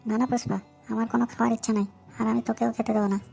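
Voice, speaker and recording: A woman's voice: on the high side, level tone, rapid-fire, gravelly, dark tone, slightly rough, soft background music, full character voice. A woman voicing a cocky, bossy schoolboy with a brash manner in a comedy cartoon.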